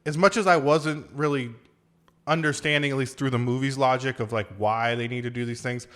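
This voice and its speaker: A man's voice: medium pitch, fairly even intonation, brisk, fairly bright tone. A man co-hosting a podcast, chatting casually about movies in a loose, conversational way and piecing his thoughts together as he goes. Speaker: podcast co-host, movie reviewer. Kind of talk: casual movie review chat.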